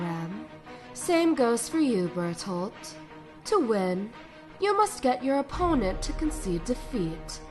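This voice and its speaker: A woman playing a cold, manipulative military commander in a sci-fi drama, speaking with controlled authority. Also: fairly high pitch. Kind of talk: dramatic sci-fi audio acting. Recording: loud music bed over the voice.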